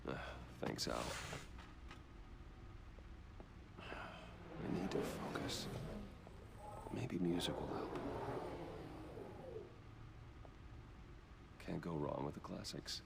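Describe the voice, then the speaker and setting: An actor playing a brooding, terse masked vigilante in a video game, with a husky American growl. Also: male voice, a bass voice, expressive, a little slow, noisy background, heavily acted. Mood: low, focused. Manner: terse, grim, commanding.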